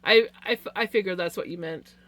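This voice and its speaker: A woman with a naturally loud voice hosting a livestream, talking casually in a chatty, self-deprecating way. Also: on the low side, brisk. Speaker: live stream host.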